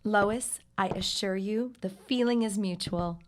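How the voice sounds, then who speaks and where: A woman's voice: mid-range voice, measured pace, background noise, close to an everyday voice. An actress playing a strong-willed, well-to-do 1910s lady with formal, firm phrasing in an audio drama. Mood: gracious. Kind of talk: period audio drama acting.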